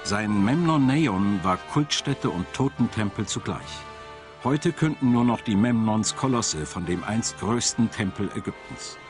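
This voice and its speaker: A man narrating a scripted documentary in a measured, dramatic storytelling manner. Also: fairly low pitch, animated. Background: music.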